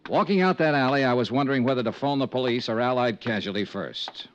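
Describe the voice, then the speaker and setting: A man's voice: mid-range voice, fast talker, slight background noise, lightly acted. An American man acting a persistent, curt, hard-boiled insurance investigator in a 1950s radio drama, with a clipped, insistent delivery. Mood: narrating. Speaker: star of a detective radio serial. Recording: dull, band-limited old radio recording.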